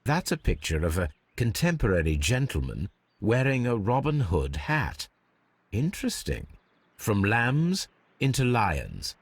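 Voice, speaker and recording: An actor playing a brilliant, unflappable Victorian detective in a game, with an English accent and precise, formal, measured delivery. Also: male voice, fairly low pitch, huge pitch swings, husky, no echo, full character voice. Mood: musing, analytical. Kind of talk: scripted game dialogue and deductive narration.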